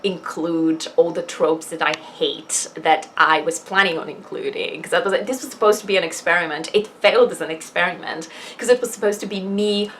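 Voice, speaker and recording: A woman's voice: lowish voice, moderate pace, hardly any pauses, a bit bright, slight background noise. A woman chatting casually in a personal vlog, candid and self-deprecating, rambling along with emphatic asides. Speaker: vlogger and fiction writer.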